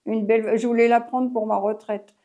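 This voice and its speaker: A woman's voice: fairly high pitch, flat delivery, a little fast, mellow, lo-fi sound. A French woman in her eighties, a retired taxi driver, telling her story in a rambling, chatty interview with many restarts. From France. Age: 80s. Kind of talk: oral history interview.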